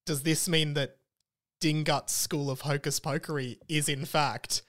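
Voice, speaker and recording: A man's voice: fairly high pitch, fairly even intonation, a little slow, a bit bright. A man with an Australian accent in casual, comedic conversation as a podcast co-host. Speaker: podcast co-host and author of the book.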